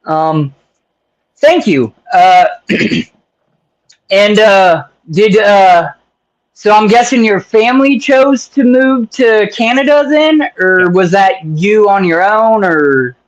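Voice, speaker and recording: A man with a high voice hosting a loose, casual interview. He jokes and rambles, with plenty of fillers between questions. His voice sits well above most men's. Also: slow pace, rough recording. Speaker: interview host.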